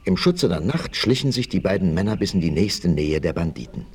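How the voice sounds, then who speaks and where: A man's voice: low-pitched, very animated, brisk, a little mellow, slightly rough. A man narrating a 1960s German audio drama, telling the story in a dramatic, suspenseful way.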